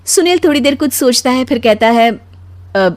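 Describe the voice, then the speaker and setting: A woman narrating a scripted animated story as its storyteller. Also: high voice, fairly quick.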